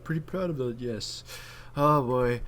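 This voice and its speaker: A man's voice: medium pitch, unhurried, fairly bright tone. A man giving casual, opinionated gaming commentary in a slangy, profane way. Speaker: gameplay commentator and player.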